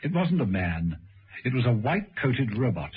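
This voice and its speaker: A man's voice: mid-range voice, big pitch swings, moderate pace, breathy edge. A man narrating a vintage radio serial in a dramatic, suspenseful scripted style. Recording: muffled old radio broadcast recording.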